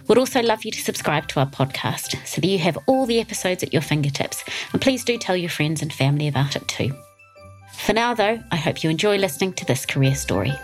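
A woman's voice: lowish voice, expressive, fairly quick, faint music behind, low-quality recording. A woman hosting a podcast as a career coach, reading her intro and asking questions in an encouraging manner. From New Zealand.

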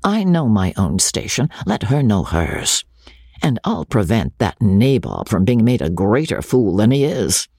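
A man's voice: on the low side, very animated, unhurried, mellow, husky, no room sound, studio quality, full character voice. A man voicing a young army officer who is arrogant and mocking, talking in laughing banter. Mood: scornful.

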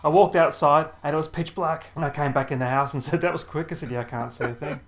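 A man's voice: fairly high pitch, steady tone, fast, fairly bright tone. Man with a faint Welsh accent hosting. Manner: chatty, jokey anecdotes. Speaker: podcast host. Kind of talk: casual chat.

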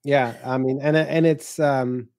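A man talking casually on a panel as a writer and editor, hesitant and halting with many fillers. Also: mid-range voice, steady tone, a little mellow.

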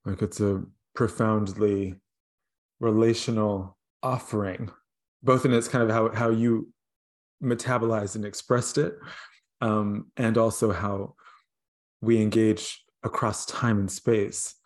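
A male interviewer with a somewhat low voice, speaking thoughtfully and often pausing on fillers as he frames his questions. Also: level tone. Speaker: interviewer, host.

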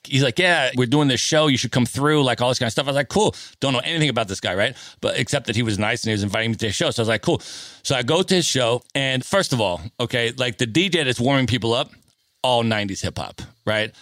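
A man hosting a podcast, telling travel stories in a lively, casual chat and often adding "man" and "right?". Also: medium pitch, fast, no room sound, clean studio sound. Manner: storytelling, animated, says "man" and "right?" often. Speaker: podcast host.

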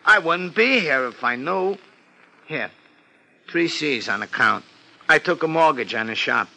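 An anxious, slangy city florist from a 1950s radio crime drama. His voice is high and very sharp, and it swings up and down as he frets like a streetwise little guy. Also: lively intonation, unhurried, gravelly, full character voice, low-quality recording. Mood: fretful, earnest. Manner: slangy, ungrammatical tough-guy talk.

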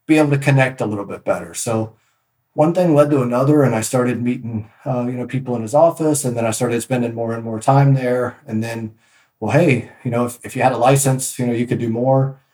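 A man's voice: mid-range voice, a little fast, darkish tone, roomy sound, rough recording. A man guest on a podcast, telling stories about his work in a casual, rambling way with many restarts. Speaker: podcast guest, real estate agent. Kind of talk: casual interview storytelling.

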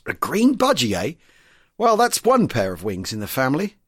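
A man's voice: mid-range voice, huge pitch swings, normal speed, breathy edge, no room sound, clean studio sound. A man hosting and narrating a scripted show of letter readings, genial and lightly wry. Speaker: host.

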